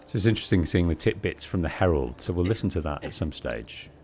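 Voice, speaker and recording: A British man with a deep, bassy voice giving measured, polite storytelling commentary as he streams a game, close on the microphone. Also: animated, darkish tone, slight background noise. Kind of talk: let's play commentary, storytelling. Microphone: close bass-heavy streaming microphone.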